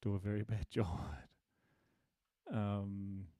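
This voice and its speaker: Gravelly low-voiced man thinking aloud. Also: flat delivery, mellow, dry recording, studio quality. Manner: halting, many fillers and restarts, thinking aloud; friendly and self-deprecating, laughs at himself. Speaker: programming teacher answering live questions. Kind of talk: casual live-stream Q&A. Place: home desk, dim room.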